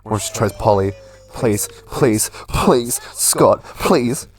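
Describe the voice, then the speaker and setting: A man's voice: fairly low pitch, slow pace. A man doing casual, chatty let's play commentary in a playful way, reading game text aloud as he goes. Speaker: gaming channel host.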